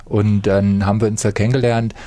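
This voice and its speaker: Middle-aged guy from saxony-anhalt, radio host telling old stories, soft dark voice, dry close sound. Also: lowish voice, flat delivery, fairly quick, dry recording. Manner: storytelling, interrupts to correct. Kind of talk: reminiscing chat.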